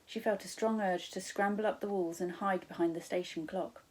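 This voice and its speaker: Woman, British accent, expressive children's narration, husky edge, medium pitch. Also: moderate pace, poor audio. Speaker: primary school teacher.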